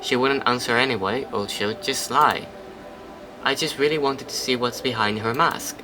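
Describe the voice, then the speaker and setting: A man's voice: medium pitch, moderate pace, background noise. A man casually playing a story game, reading its narration aloud and chatting between lines, now and then swearing. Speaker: let's play host.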